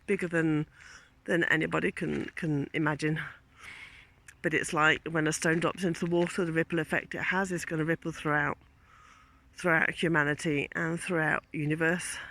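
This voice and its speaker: Man with a bright gravelly voice. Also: a little high, slight background noise. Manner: rambling, reflective, loses train of thought. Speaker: teacher. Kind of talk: casual vlog monologue. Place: outdoors in countryside while walking.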